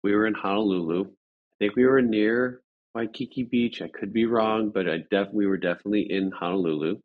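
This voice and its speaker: Male interview guest, lowish voice, conversational, halting and agreeable storyteller. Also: phone-quality sound. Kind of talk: casual anecdote in an interview.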